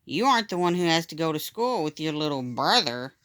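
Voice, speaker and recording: A woman's voice: low voice, very animated, fairly quick, fairly bright tone, no room sound, clean studio sound. A woman voicing a self-conscious, scheming third-grade big brother in a storybook read-aloud, confiding and warning. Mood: glum.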